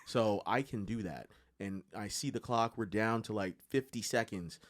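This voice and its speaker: A man hosting a podcast in casual, unscripted chat, talkative and quick to exclaim. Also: on the low side. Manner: chatty, self-described yapper, exclaims often. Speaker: podcast host.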